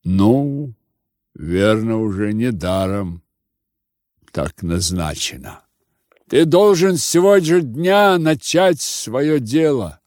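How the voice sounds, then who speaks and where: A man's voice: medium pitch, sing-song, slow, darkish tone, dry recording, clean studio sound. Man voicing a stern, grieving old Cossack chief. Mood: stern command. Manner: solemn, emphatic, commanding. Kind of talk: audiobook character voice.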